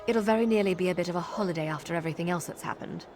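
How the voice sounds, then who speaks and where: A woman's voice: lowish voice, racing, music in the background, lightly acted. An actress playing a wry woman soldier in a video game, with a dry sense of humor.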